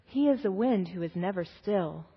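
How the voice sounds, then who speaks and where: A woman's voice: mid-range voice, animated, normal speed, slightly rough. A woman voicing quarrelling animals and nature spirits in a storybook, each one emphatic and insistent. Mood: quietly assertive. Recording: muffled, echoey large hall.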